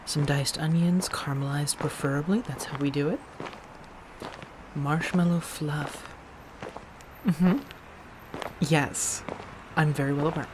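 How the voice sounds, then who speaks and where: A low-voiced, affectionate female vampire, acted by a woman, chats softly to her roommate in an ASMR audio roleplay. She is gentle and teasing, slipping into hushed asides. Faint background noise sits behind the voice. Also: fairly even intonation, a little slow, pauses often. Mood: teasing, enthusiastic. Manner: gentle, chatty, teasing, with soft murmurs and breathy asides. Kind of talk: soft-spoken ASMR roleplay.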